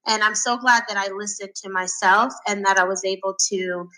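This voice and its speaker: A woman in her thirties, originally from Sweden, talks as a podcast guest. Her voice has a bright, clear tone at a middling pitch for a woman. She answers in a thoughtful way, pausing often with soft fillers. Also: steady tone, rough recording. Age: 30s. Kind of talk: casual interview answers.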